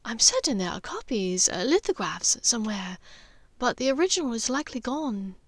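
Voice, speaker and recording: A woman voicing a refined, anxious older aristocratic witch mother in an audiobook story, breathy, careful and gently firm. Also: fairly high pitch, sing-song, moderate pace, dry recording. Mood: thoughtful.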